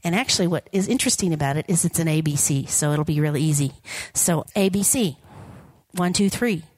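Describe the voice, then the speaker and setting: A woman preaches to a congregation in a voice that is a little raspy and hoarse and sits on the low side. She jokes and keeps inviting the room to answer back. The sound is dry and close, with no echo. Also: brisk, darkish tone. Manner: upbeat, jokey, asks the congregation for responses. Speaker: guest preacher. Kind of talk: informal sermon with prayer. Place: church platform before a congregation.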